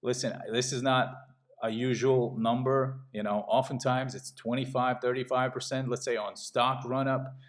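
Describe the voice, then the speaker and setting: A middle-aged man from Latvia giving a casual sales pitch while walking through trading charts. He talks in a pushy way and keeps checking in with "okay?". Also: little pitch movement, moderate pace. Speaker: trading channel host.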